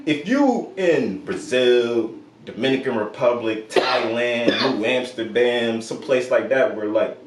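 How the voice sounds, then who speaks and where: A man's voice: mid-range voice, expressive, takes it slowly, reverberant, far from the mic, noisy background. A man co-hosting a podcast who talks laid-back and laughs often. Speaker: podcast co-host. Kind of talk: casual chat.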